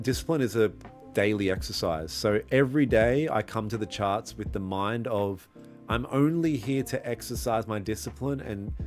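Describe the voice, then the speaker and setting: A man's voice: medium pitch, darkish tone. A young man livestreaming his trading, chatting casually with viewers in a slangy, laid-back way. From Australia. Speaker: livestreaming trader. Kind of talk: casual live-stream chat, reading and answering viewer comments. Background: faint lo-fi music.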